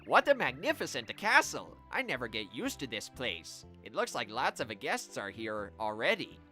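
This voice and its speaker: A man voicing an eager, wide-eyed brother of a video game hero, excitable and exclaiming. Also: medium pitch, moderate pace, soft background music. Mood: excited.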